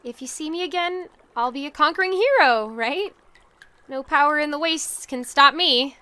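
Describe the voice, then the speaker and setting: A woman's voice: sits high, normal speed, sharp tone. A young woman acting a plucky, sardonic exile in her twenties in an audio drama. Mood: bravado.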